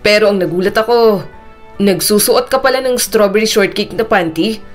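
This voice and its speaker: A woman's voice: normal speed, soft background music, close to an everyday voice. A woman voicing a bewildered but considerate young man in an audio romance story. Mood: teasing. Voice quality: deep.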